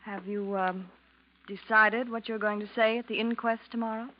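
A woman's voice: mid-range voice, steady tone, normal speed, slight background noise, close to an everyday voice. An actress playing a scheming, spurned young woman in a 1940s radio drama, controlled and pointed in her delivery. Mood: needy, guarded. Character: scheming, cool young femme fatale, spurned in love. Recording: muffled 1940s radio recording.

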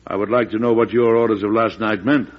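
An actor playing an embattled but calm, dignified president in a classic radio drama. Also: male voice, fairly low pitch, quick pace, natural voice. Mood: indignant. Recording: dull old radio broadcast.

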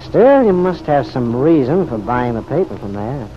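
An actor playing a folksy, slyly probing police officer in an old radio drama, with wry, slangy, unhurried questioning. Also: male voice, mid-range voice, very animated, lightly acted. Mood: wry, insistent. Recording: dull, noisy old radio recording.

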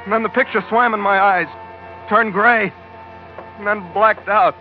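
A man's voice: sits high, steady tone, unhurried. A man playing a tough American sea captain narrating a 1940s radio adventure in clipped, hard-boiled, dramatic fashion. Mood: urgent, intense. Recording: muffled old radio broadcast with music.

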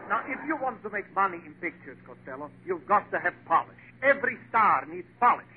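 An actor playing a brisk, pompous Hollywood film director in a 1940s radio comedy. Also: male voice, high-pitched, very animated. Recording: very noisy, tinny old radio recording.

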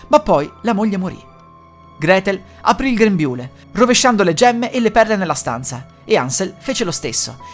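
A woman storyteller reads a fairy tale in a scripted, dramatic way. Her voice swoops up and down with lively intonation. Soft music plays faintly behind her. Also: mid-range voice. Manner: expressive, dramatic reading.

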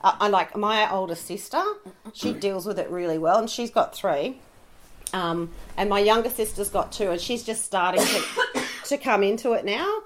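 A middle-aged woman with a croaky voice from a cold, chatting frankly on a podcast and swearing freely. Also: medium pitch, moderate pace, a little hiss or hum. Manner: frank and sweary, laughing easily. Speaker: podcast co-host. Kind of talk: casual chat.

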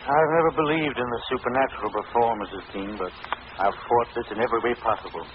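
A man's voice: mid-range voice, quick pace, close to an everyday voice. Man playing grave, weary country doctor. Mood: grim resignation. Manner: grave, resigned. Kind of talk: 1940s radio acting. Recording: muffled, noisy old radio recording.

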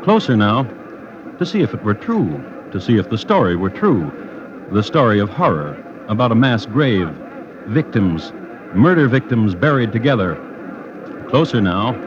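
A man's voice: on the low side, sing-song, noisy background. Wry male radio narrator, widely varied intonation. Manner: deliberate, dry, wry storytelling. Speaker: host and narrator. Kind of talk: 1950s radio true-crime narration. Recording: muffled vintage radio, music behind.

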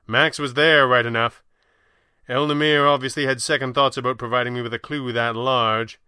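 A man playing a composed, erudite masked crimefighter in an audio drama, speaking in a measured, articulate way with dry wit. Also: mid-range voice, steady tone, sharp tone, clean tone, no echo. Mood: measured, serious. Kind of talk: audio drama character voice in narrated reading.

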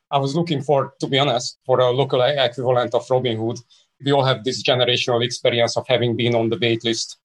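A man in his forties with a Hungarian accent speaks as a podcast guest, telling personal stories in a conversational way. His voice is mid-pitched, and the sound is dry, with very little room on it. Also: no room sound. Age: 40s. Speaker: podcast guest, startup co-founder. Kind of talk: conversational interview answers, personal storytelling.

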